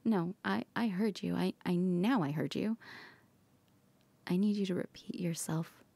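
A woman whispering softly in an ASMR comfort roleplay, gently reassuring a partner. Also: lowish voice, measured pace, vocal fry, husky, no room sound.

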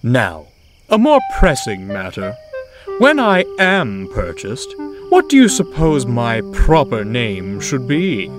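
A man's voice: mid-range voice, huge pitch swings, slow. A man voicing a vain, boastful male tank engine who speaks in a grandiose, condescending way. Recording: loud music score behind.

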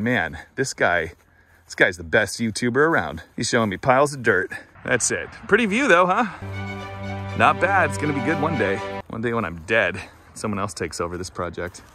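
A man's voice: mid-range voice, big pitch swings, a little fast, bright, forceful tone, soft background music. A man in his thirties vlogging casually outdoors, making dry, self-mocking jokes into a phone held at arm's length. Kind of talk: casual vlog narration. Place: outdoors on a farm. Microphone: phone camera held at arm's length.

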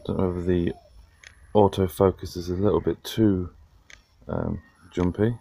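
A man in his thirties with a chesty, hoarse cold voice, casually reviewing a gadget with plenty of fillers and restarts. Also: deep voice, normal speed. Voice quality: gravelly. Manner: relaxed, plain talk with frequent fillers and restarts. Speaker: tech reviewer.

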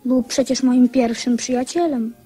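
A woman playing an earnest young boy who gently admonishes, in a radio drama. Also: fairly high pitch, fairly even intonation, a little slow, breathy edge, soft background music. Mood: reflective. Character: earnest young boy, a former king turned worker. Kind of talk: radio drama acting with narration.

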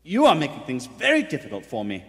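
Man playing an earnest detective, wildly swinging pitch. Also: huge pitch swings, quick pace, a bit bright. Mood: earnest, pressing. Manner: urgent, earnest. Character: earnest young male police detective, dutiful, troubled. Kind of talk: stage radio play acting. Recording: echoey theatre hall.

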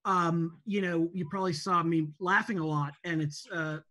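A woman's voice: fairly low pitch, fairly even intonation, moderate pace, fairly bright tone, dry recording. A woman who writes for television, making casual remarks on a video call. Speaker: show writer and producer. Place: home room, video call.

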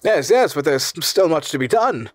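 Man voicing a courteous, proud scholarly professor, lifted pitch. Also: fairly quick, no room sound, clean studio sound, natural voice. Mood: eager. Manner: formal, earnest, eloquent.

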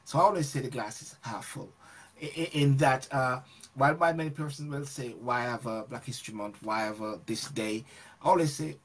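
A man's voice: medium pitch, brisk. A man hosting a talk show over a video call, speaking hesitantly with frequent restarts and agreeable affirmations. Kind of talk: casual talk-show chat.

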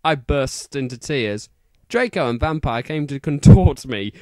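A man's voice: mid-range voice, animated, measured pace, darkish tone, dry recording. A man narrating fan fiction as an exaggerated, mock-serious dramatic reading.

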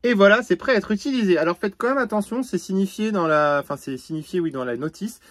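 A man's voice: sits high, animated, fast talker, a little hiss or hum. A man giving a casual, slangy product demonstration to his viewers, with a joking, informal way of talking. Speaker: camper van vlogger testing a product.

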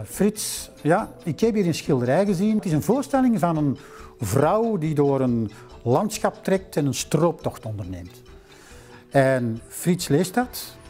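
Flemish man, fifties, lapel mic, slightly raised pitch, warm mellow tone, faint music behind. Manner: measured, storytelling with filler "eh". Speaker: museum director. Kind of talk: documentary interview storytelling. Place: library room with bookshelves.

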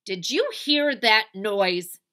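Lady doing a bossy indignant big sister from a kids book, loud n forceful, barks out orders. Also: mid-range voice, animated, slow, pitched above the performer's own voice. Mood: commanding. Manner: loud, commanding, emphatic.

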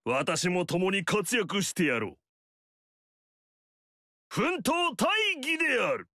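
A pompous vain noble warlord. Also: male voice, high voice, huge pitch swings, slow, sharp tone, no echo, full character voice. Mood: haughty. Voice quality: haughty, pompous. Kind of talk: video game voice lines. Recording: dry studio recording.

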